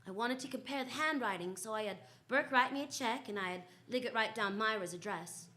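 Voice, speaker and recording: Woman playing wisecracking female private eye, confident, fairly high voice, 1940s radio drama storytelling. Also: a little fast, sharp tone. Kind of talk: 1940s radio detective drama, first-person narration.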